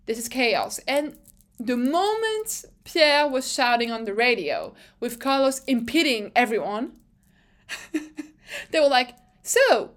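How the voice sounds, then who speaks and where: A young woman with a high, bright-pitched voice chats through a casual recap as host. She rambles with lots of energy, circles back on herself, and laughs often. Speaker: podcast host.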